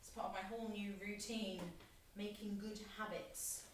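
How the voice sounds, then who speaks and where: A woman's voice: medium pitch, little pitch movement, moderate pace, lightly acted. An actress playing a blunt, guarded young woman in a naturalistic audio drama, with a frank, dry, reserved manner. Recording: very echoey, distant, faint noise.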